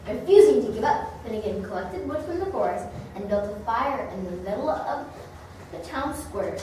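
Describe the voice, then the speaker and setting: A woman's voice: fairly high pitch, a little mellow. A woman narrating a scripted story in reader's theater style. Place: large echoey room, recorded from afar. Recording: very echoey room, distant mic, background noise.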